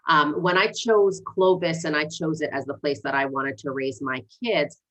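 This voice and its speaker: A woman's voice: sits low, steady tone, moderate pace, a bit bright, low-quality recording. A woman in her forties who learned English as a second language, introducing herself earnestly as a candidate in a livestream interview. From born in Egypt, raised in Canada and the United States. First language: not English, learned it as a second language. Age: 40s. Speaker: city council candidate.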